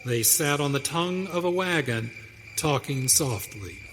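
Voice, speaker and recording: A man's voice: fairly high pitch, expressive, unhurried, fairly bright tone. A man narrating a scripted western radio drama. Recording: hall reverb, faint noise.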